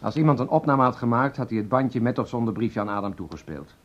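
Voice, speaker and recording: A man's voice: mid-range voice, lively intonation, fast, lightly acted. A man playing a firm, matter-of-fact colleague in a Dutch radio play. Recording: muffled, dull radio play recording.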